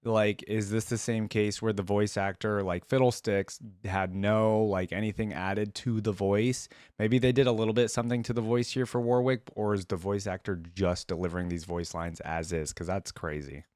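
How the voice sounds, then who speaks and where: A man's voice: fairly low pitch, little pitch movement, moderate pace, few pauses, a little mellow, clean tone, no echo, studio quality. A man hosting a reaction video, commenting casually off the cuff and thinking aloud in informal speech.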